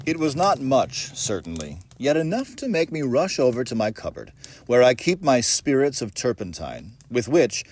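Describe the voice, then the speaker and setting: Man reading with very expressive, swooping pitch. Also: normal speed. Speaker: audiobook reader. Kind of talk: dramatic narration of a ghost story.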